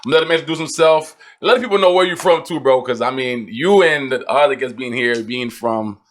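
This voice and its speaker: Male podcast host, medium pitch, informal and slangy, relaxed conversational delivery. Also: fairly quick. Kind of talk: casual podcast chat.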